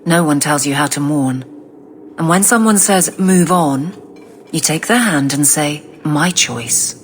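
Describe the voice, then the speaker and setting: A woman's voice: low voice, a little slow, slight background noise. A woman playing a tough, guarded but awkwardly tender warrior in a fantasy game, plain-spoken and restrained. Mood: consoling. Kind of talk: dramatic video game voice acting.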